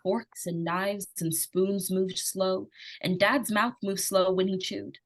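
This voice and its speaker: A woman's voice: medium pitch, fairly even intonation, takes it slowly. A woman playing an earnest, pleading young girl in old-time radio suspense drama, urgent and insistent. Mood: subdued, haunted. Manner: urgent, breathless repetition and pleading. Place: live stage radio-play performance.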